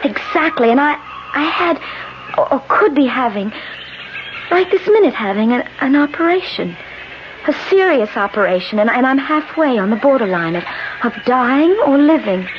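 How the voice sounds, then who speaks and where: An actress playing a frightened but determined woman caught between life and death in a 1970s radio drama, breathless and halting, repeating herself. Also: high-pitched, very animated. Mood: anxious. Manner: breathless, pleading, halting and repetitive. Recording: noisy, dull 1970s radio broadcast.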